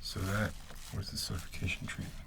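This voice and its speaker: A man voicing a smug, menacing gang leader in a soft, intimate ASMR roleplay, speaking low and haltingly with mock kindness. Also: completely flat delivery, noisy background, lightly acted. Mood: smug menace. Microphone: close ear-to-ear ASMR microphone.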